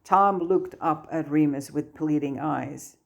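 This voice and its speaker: A woman reads a story aloud as scripted narration. Her voice sits low, in the manner of an amateur audiobook narrator. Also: takes it slowly, vocal fry.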